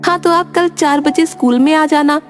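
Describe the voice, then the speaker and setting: Woman voicing a high, childlike little village girl. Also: normal speed, soft background music, lightly acted. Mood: eager, sweet. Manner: childlike, pleading. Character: sweet little village girl, devoted daughter. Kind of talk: cartoon moral-story voice acting.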